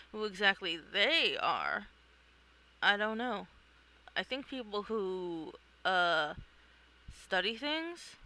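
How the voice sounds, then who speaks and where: A performer voicing an excitable, blunt and wary young superhero in a comic read-along. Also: mid-range voice, heavily acted, poor audio.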